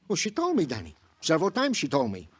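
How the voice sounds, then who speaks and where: A fussy, talkative police sergeant from a 1950s radio crime show. His highish voice rises and falls dramatically as he muses in florid, mock-poetic turns of phrase. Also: wildly swooping pitch, fast talker, slightly rough, exaggerated voice. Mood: mock offended. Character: chatty, flowery, fussy police sergeant, theatrical sidekick.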